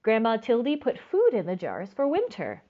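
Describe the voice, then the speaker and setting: A woman's voice: on the high side, wildly swooping pitch, moderate pace, highs cut off. A woman reading a children's storybook aloud expressively, stretching words for emphasis and speaking directly to young listeners. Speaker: storytime reader.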